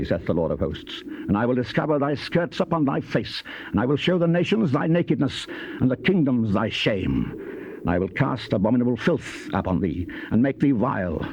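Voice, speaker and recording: A man performs a stern, wrathful prophet in a scripture drama, declaiming doom in a low, solemn voice. Each phrase is emphatic and theatrical. The sound is a dull old cassette with loud noise over it.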